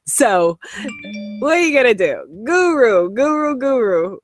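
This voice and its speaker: Woman coach with a Guyanese accent, unhurried and emphatic, dry close sound. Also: on the high side, no echo, faint music behind. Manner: upbeat, animated, emphatic and encouraging. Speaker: online course host and coach. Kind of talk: direct-to-camera e-course lesson.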